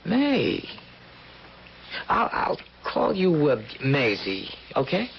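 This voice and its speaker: An actor playing a streetwise, guarded convict in a 1970s radio drama, hesitant and stammering in plain slangy speech. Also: male voice, fairly high pitch, wildly swooping pitch, slow, creaky, full character voice. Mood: teasing, curious. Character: streetwise male convict, guarded, bitter, pleading for a chance. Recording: muffled old radio broadcast with hiss.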